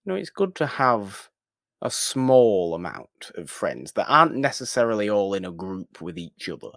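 A man's voice: on the low side, big pitch swings, moderate pace, gravelly, fairly bright tone, no echo, clean studio sound. A man co-hosting a podcast, casually discussing films in an emphatic way, often repeating words and phrases for stress. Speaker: podcast co-host.

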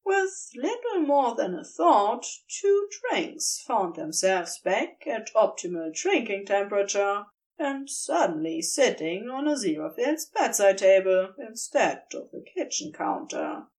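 A woman reading fan fiction aloud as a podfic, in an unhurried, expressive storytelling manner. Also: medium pitch, far from the mic, rough recording. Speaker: podfic reader. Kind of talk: audiobook-style fiction reading.

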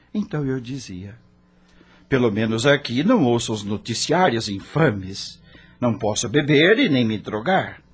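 A man's voice: mid-range voice, breathy edge. An actor playing an anguished dead male rock star, narrating his own story in a theatrical, brooding radio drama style. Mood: anguished, defiant.

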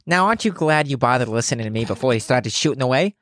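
A man's voice: mid-range voice, expressive, quick pace, a bit bright, no echo, studio quality, close to an everyday voice. A man voicing a nonchalant, wisecracking cartoon rabbit trickster in a smug, teasing way for a story. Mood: smugly explaining.

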